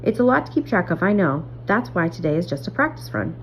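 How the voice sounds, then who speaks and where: A woman's voice: on the low side, sing-song, fast talker. A woman voicing an earnest, patient young miner who leads a team, instructing emphatically in a storybook reading. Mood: encouraging. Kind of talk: acted dialogue in a storybook reading. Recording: muffled audio, clear background hum.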